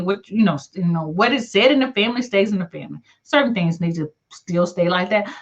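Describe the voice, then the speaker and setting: A woman's voice: mid-range voice, fairly bright tone. A middle-aged woman with a Southern US country accent, chatting casually and encouragingly as a livestream host, with folksy, colloquial phrasing. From southern United States. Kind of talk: casual chat reading live comments. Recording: dull, lo-fi livestream audio.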